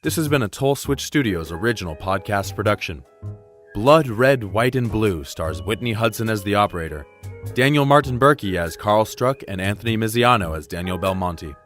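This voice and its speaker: A man's voice: fairly low pitch, expressive, normal speed, fairly bright tone, soft background music. A man announcing scripted podcast credits.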